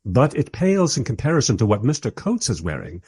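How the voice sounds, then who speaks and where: A man playing a wry, politely exasperated film director who narrates a radio play in conversational asides with a husky voice. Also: medium pitch, huge pitch swings, fairly quick, darkish tone, heavily acted.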